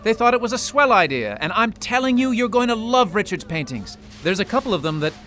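A man's voice: high voice, sing-song, fairly quick, bright, forceful tone, faint music behind, exaggerated voice. A man playing a hearty, pushy neighbor. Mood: coaxing. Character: breezy, persuasive male neighbor.

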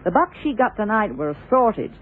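A hard-bitten woman of the theatre speaks bluntly in a husky voice. Her intonation moves around a lot, in seventies radio-play style. The sound is thin and band-limited, like an old broadcast. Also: medium pitch, very animated, moderate pace, narrow, tinny audio. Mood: explaining. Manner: candid, blunt, colloquial. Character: frank, hard-bitten female theatre dresser. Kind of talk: 1970s radio crime drama acting.

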